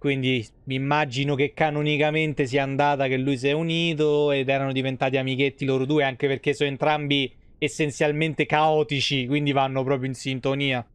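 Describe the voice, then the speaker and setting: A man's voice: mid-range voice, level tone, fairly quick, hardly any pauses, clear, resonant tone, no echo. A man around his thirties, giving casual, slangy, sweary live commentary on a game. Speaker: game streamer. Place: indoor streaming room at home.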